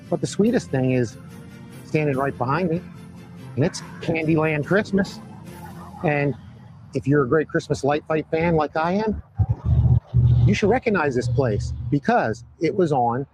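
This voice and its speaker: Hoarse guy hosting a live stream outside, upbeat, keeps repeating stuff for emphasis. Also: fairly high pitch, a little mellow, low-quality recording. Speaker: host. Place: outdoors at a Christmas light display by a road.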